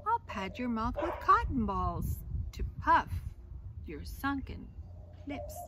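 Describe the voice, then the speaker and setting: A woman's voice: on the high side, sing-song, slow pace. A woman voicing an eager, confident male portrait artist in a storybook with a persuasive manner. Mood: coaxing. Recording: noisy recording.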